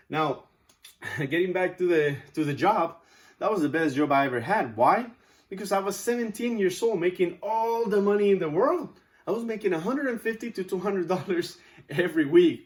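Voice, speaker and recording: A man in his thirties gives a speech to his class, his Spanish accent clear since English is not his first language. His voice sits somewhat high for a man, and he talks informally, with plenty of fillers and asides. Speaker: student speaker.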